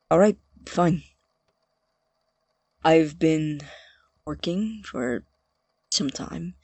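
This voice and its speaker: An actor playing an evasive, pedantic young student experimenter, explaining in halting, formal phrases in a naturalistic audio drama. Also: medium pitch, no room sound, close to an everyday voice.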